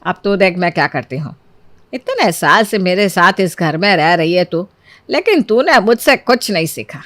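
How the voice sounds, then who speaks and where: A woman's voice: fairly low pitch, animated, moderate pace, fairly bright tone, no room sound, pitched below the performer's own voice. A woman voicing a sly, scolding elderly mother-in-law in a cartoon story, with sarcastic, theatrical needling. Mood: bossy scheming.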